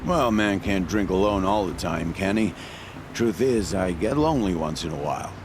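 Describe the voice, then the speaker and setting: Deep-voiced gruff trader. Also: male voice, lively intonation, normal speed. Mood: confiding. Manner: gruff, wry. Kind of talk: video game voice acting. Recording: clear ambient noise behind voice.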